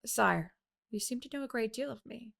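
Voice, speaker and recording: A woman's voice: on the high side, moderate pace, creaky, a bit bright, voice pushed up, heavily acted. A woman voicing a wry, wary young female fighter in an audiobook, with a dry, light manner. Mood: dry, guarded.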